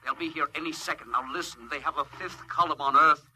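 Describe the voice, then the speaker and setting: An actor playing a desperate spaceship captain in a 1950s radio drama, urgent and emotional. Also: male voice, a little high, fairly quick, slight background noise. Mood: desperate urgency. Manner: urgent, emotional, shouting orders.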